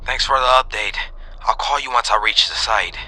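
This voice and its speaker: Man acting as male cop, very forceful sharp tone, authoritative, brisk, horror story dialogue. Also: mid-range voice, a little hiss or hum, voice pushed up. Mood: businesslike. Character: male police officer, authoritative, teasing, matter-of-fact.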